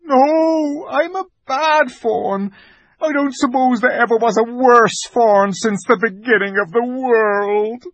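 A reader voicing a sorrowful male fawn who speaks slowly through heavy sobs. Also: mid-range voice, dry recording, pitched above the performer's own voice. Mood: sobbing. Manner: sobbing, slow and anguished. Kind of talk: audiobook character voice.

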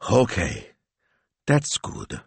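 A man's voice: deep voice, huge pitch swings, extremely slow, gravelly, husky, no room sound, heavily acted. A man voicing a self-absorbed, chatty young woman in an audiobook, pouty, breezy and earnest. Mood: fragile, hopeful.

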